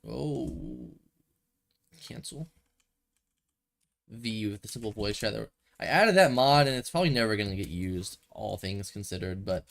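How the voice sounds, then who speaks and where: Young male streamer, slightly low voice, a bit stuffy, casual rambling commentary. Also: creaky, fairly bright tone. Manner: rambling, thinks aloud, self-correcting. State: a little stuffy from a cold. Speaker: gaming streamer.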